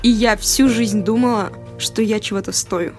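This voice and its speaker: A woman's voice: mid-range voice, normal speed, darkish tone, faint music behind. A woman in her twenties co-hosting a podcast in casual, slangy, giggly chat. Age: 20s. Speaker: podcast co-host.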